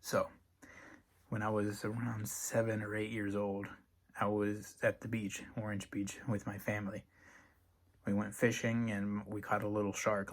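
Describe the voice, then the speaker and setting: A young man giving a class lecture, talking casually with dry joking asides, his voice a little rough from being sick. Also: lowish voice. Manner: dry jokey asides, plain delivery. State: voice recovering from strep, a little rough. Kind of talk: casual recorded class presentation.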